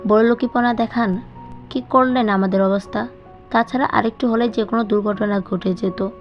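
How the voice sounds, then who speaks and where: A woman voicing a hot-tempered, sharp-tongued young woman in an audio story, scolding and firing off questions. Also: a little high, fairly even intonation, moderate pace, muffled recording, faint music behind, natural voice. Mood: angry scolding.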